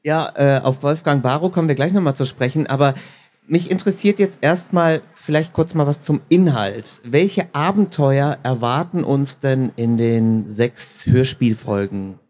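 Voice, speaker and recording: A man's voice: medium pitch, measured pace, darkish tone. A man hosting a live stage interview in a conversational way, putting questions to guests. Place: stage at a book fair. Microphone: handheld microphone.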